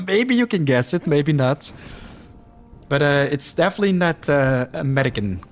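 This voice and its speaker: A man's voice: a little high, lively intonation, normal speed. A man from the Netherlands with a Dutch-Flemish accent, chatting casually as he streams a game live, reacting to the action and reading viewer comments aloud.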